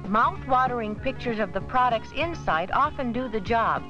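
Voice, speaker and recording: A woman giving measured, scripted narration for an educational film about supermarket shopping. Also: a little high, expressive. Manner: measured, instructive. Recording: dull 1970s 16mm film soundtrack with music.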